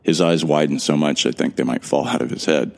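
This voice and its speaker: A man's voice: rumbling low, steady tone, a little fast, creaky, a little mellow, slight background noise. A man narrating an audio drama in the first person, earnest and bewildered. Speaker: narrator and lead actor.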